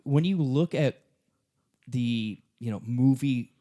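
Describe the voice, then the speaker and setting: A man's voice: medium pitch, slow pace, mellow. A young American man co-hosting a podcast, chatting and telling anecdotes in an informal, slangy, sweary way. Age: young adult. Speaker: podcast co-host. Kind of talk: casual chat and anecdotes.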